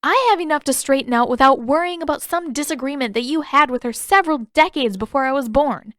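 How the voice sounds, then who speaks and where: Female reader as headstrong teen heroine for fantasy storybook: high-pitched, exasperated, emphatic, quick to argue, dry sound. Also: a bit bright, no room sound. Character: fiery, indignant, defiant teenage girl waterbender. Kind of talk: audiobook-style fan fiction character reading.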